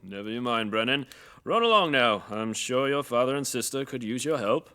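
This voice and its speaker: An actor playing a kindly young prince, informal and self-deprecating, in a fantasy audio drama. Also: male voice, mid-range voice, expressive, moderate pace, pushed and bright, clean tone. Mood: apologetic.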